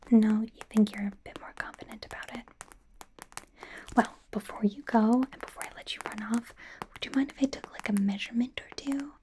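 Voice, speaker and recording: A woman whispers softly and breathily in an ASMR personal-attention roleplay. She plays a bubbly young idol stylist who dotes on the listener, coaxing and reassuring with playful, chatty asides. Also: on the high side, a little fast. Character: bubbly, doting young female pop idol playing stylist.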